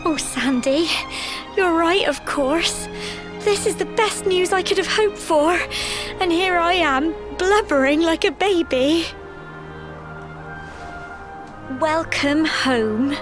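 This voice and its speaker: A woman voicing a gentle, tender-hearted young village woman in a video game, earnest and hesitant in her speech. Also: very high-pitched, slow. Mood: relieved, tender. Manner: earnest, breathy hesitations, emotional. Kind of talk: video game cutscene acting. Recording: orchestral game music behind. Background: game music.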